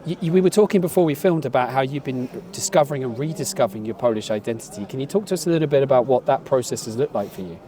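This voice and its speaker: A man's voice: medium pitch, rapid-fire, hardly any pauses, recorded from a distance, crowd noise. A man from the United Kingdom hosting a casual outdoor interview, chatty and calling his guest mate. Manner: chatty, calls people mate. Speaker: interview host. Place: outdoors at a street event.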